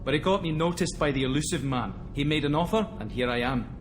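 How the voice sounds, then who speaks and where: A man's voice: a little high, expressive, fast talker, close to an everyday voice. A man voicing a brash, blunt, cheeky starship engineer in a video game cutscene. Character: brash, outspoken, loyal male starship engineer. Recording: noisy ship ambience behind.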